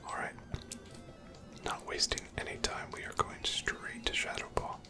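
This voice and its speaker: A person whispering softly and breathily, giving gentle, casual ASMR commentary while playing a video game. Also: normal speed, loud music behind the voice. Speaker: host of a gameplay series.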